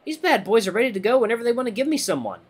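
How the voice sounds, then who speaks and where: A man's voice: extremely high pitch, very animated, very fast, slightly rough, voice pushed up, full character voice. A man voicing a brash, friendly male animal fighter in an audio story, boisterous and slangy. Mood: confident.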